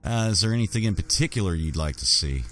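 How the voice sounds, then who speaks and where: A man's voice: low voice, animated, fast, a bit bright, soft background music. A man improvising in character as a folksy fantasy game adventurer, with hesitant speech. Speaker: roleplaying gamer voicing his adventurer character.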